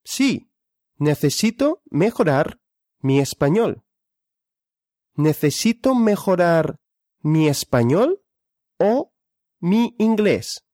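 A speaker leading a question-and-answer language drill. The speaker asks each question, then answers it deliberately. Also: medium pitch, sing-song, warm tone, dry recording, studio quality.

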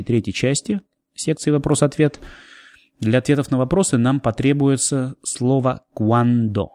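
A man's voice: mid-range voice, dark tone, no echo, clean studio sound. A man narrating a language lesson as the teacher. He explains new words, then repeats and translates them.

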